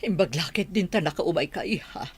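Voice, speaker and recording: Husky worried Ilocano mother. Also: on the low side, animated, brisk. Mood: concerned. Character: worried older mother of a married daughter. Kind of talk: radio drama acting.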